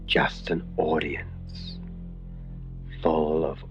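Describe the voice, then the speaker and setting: An actor playing an ominous male announcer intoning a spooky radio intro in a menacing, hushed way. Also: extremely low pitch, level tone, very slow, full character voice. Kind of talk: slow spoken radio intro. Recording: dull lo-fi radio sound over music. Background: background music.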